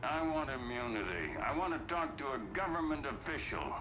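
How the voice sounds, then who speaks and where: Actor as cornered, bargaining man, animated pitch. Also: a little fast, noisy background, close to an everyday voice. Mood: calculating. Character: cornered man bargaining for his own skin. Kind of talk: dramatic video game cutscene acting.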